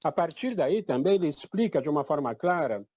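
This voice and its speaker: Older man from Egypt, fairly high voice, firm and emphatic testimony. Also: very animated, a little fast, dark tone. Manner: emphatic, declarative, repeats "definitivamente". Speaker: event participant.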